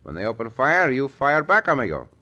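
An actor playing a gallant, confident Mexican gunfighter hero with a Mexican accent in an old radio western. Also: male voice, medium pitch, very animated, fairly quick, bright, forceful tone, lo-fi sound. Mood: calm, resolute. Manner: formal, gallant phrasing. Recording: very noisy old radio recording.

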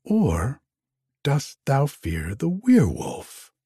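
A man's voice: mid-range voice, huge pitch swings, slow pace, warm tone, husky, dry recording, clean studio sound, pitched above the performer's own voice, heavily acted. A man voicing a proud, teasing young maiden in a storybook romance, with theatrical, questioning, archaic speech.

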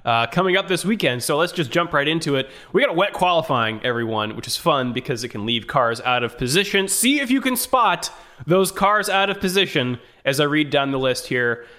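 A man's voice: on the high side, expressive, brisk, bright, forceful tone. A man hosting a motorsport podcast, presenting in an upbeat, casual way as he moves through names and commentary.

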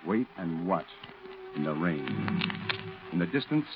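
An actor playing a solemn war correspondent, narrating dramatically in a 1940s radio drama. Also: male voice, deep voice, big pitch swings, unhurried, muffled recording. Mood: grave, suspenseful. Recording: thin old radio recording. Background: music.

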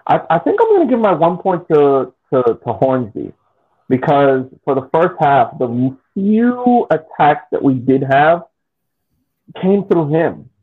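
An American man talks through a soccer game on a podcast, his voice squeezed thin as if over a phone line. He stumbles and restarts sentences often while keeping a relaxed, chatty tone. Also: on the high side, husky. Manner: halting, restarts often, laughs easily. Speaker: podcast co-host. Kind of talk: casual sports chat.

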